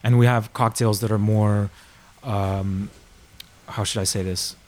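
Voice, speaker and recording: A man's voice: fairly low pitch, steady tone, unhurried, a little mellow. A man in his forties, born in Argentina and raised in Florida, talking about his bar in a hesitant way with many restarts. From born in Argentina, grew up in Florida. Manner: hesitant, many restarts and fillers, laughs at himself. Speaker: bar owner. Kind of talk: casual interview chat.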